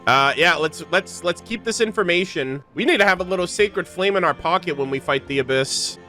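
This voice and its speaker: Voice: mid-range voice, fairly quick, sharp tone. A streamer giving casual live reactions to a game, slangy and joking. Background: faint game music.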